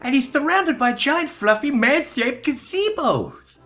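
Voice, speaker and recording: Man putting on a chirpy, bright young woman. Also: very high voice, animated, unhurried, voice pushed up, heavily acted. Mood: eager, anxious. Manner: eager, pleading, exclamatory. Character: earnest, chirpy young female inspector's assistant. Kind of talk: melodramatic game dialogue reading. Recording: music behind the voice.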